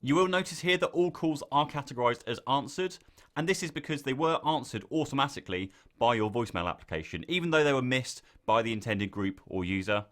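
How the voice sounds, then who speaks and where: A man reading a scripted software tutorial, giving clear step-by-step instructions as the narrator. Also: medium pitch, animated, fairly quick.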